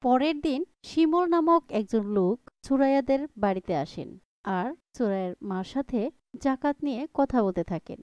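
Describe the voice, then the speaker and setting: A woman's voice: sits high, expressive, moderate pace, darkish tone, clear, resonant tone, no room sound. A woman narrating a scripted cartoon story.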